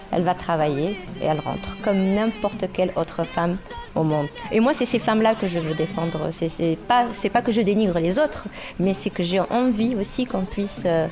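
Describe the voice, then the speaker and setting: A woman from Morocco, a singer and rapper, speaking earnestly in a documentary interview, pausing often with fillers. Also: medium pitch.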